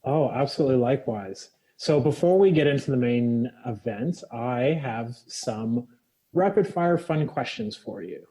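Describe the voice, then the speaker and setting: Mellow husky male interview host. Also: medium pitch, lively intonation, measured pace, rough recording. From Canada. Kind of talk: hosting an interview.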